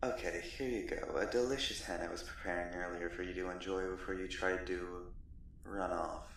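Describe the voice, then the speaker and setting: Man voicing a deep, echoing, courtly vampire captor. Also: fairly even intonation, moderate pace, far from the mic. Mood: courteous, gloomy. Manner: genteel, condescending, softly threatening. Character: courtly male vampire captor, gloomy, politely menacing. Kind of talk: ASMR roleplay monologue. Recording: roomy echo, off the mic, faint noise.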